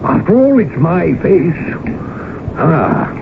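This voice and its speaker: An actor playing a boastful, blustering colonel and husband, pompous and excitable, in old-time radio drama style. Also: male voice, fairly high pitch, takes it slowly, creaky, exaggerated voice. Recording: noisy, narrow-band old radio broadcast recording.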